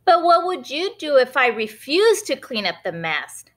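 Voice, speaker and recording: A woman's voice: sits high, sing-song, unhurried, fairly bright tone, voice pushed up, heavily acted. A woman voicing a naughty, teasing little boy in a read-aloud storybook.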